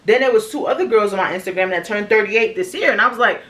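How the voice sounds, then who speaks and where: A woman's voice: medium pitch, fast, a bit bright. A woman in her early thirties with an American accent, talking candidly and casually to her viewers in slangy, conversational language. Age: early 30s. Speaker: vlogger. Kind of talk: casual personal reflection to viewers.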